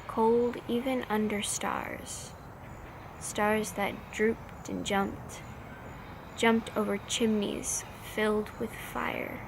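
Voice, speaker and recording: A woman recites slam poetry at an unhurried, slow pace. Her mid-pitched voice carries noticeable vocal fry, and she sets out each short phrase with measured care. Faint noise sits behind the recording. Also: little pitch movement. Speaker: slam poet.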